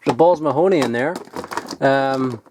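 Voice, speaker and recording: Young man, twenties, excitable, rambling, casual swearing, mid-pitched voice. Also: normal speed, a little hiss or hum. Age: 20s. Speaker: toy collector vlogger. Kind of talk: casual unboxing chat.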